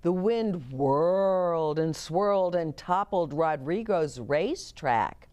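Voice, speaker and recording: Animated, slow-paced woman storyteller. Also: fairly low pitch. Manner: expressive, explains words and chats to young listeners. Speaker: storytime host reading picture books to children. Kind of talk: animated children's read-aloud with asides.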